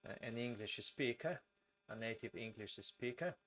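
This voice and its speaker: Slow-speaking Brazilian man. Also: medium pitch, fairly bright tone, slight background noise. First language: Portuguese. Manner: speaks slowly and deliberately with many fillers. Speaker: host of a live stream. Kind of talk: live-stream talk reading viewer comments.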